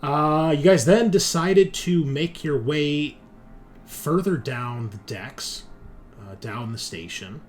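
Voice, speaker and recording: A man working as game master, giving a casual, halting recap of a tabletop game as he thinks aloud. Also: on the high side, soft background music. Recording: clear background noise.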